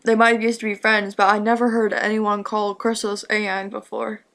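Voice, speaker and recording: A woman's voice: medium pitch, flat delivery, normal speed, a bit bright. A woman giving casual reaction commentary on a story, musing and wondering aloud.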